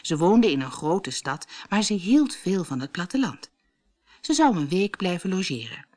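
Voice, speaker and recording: A woman narrating a scripted children's story in an expressive storytelling manner. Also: lowish voice, normal speed. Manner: expressive storytelling, acts out dialogue. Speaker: audiobook narrator.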